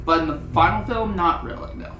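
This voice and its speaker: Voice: medium pitch, fairly quick, noisy background. Casual film reviewer in a roomy space. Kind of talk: casual opinionated video review commentary. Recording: roomy echo, music underneath.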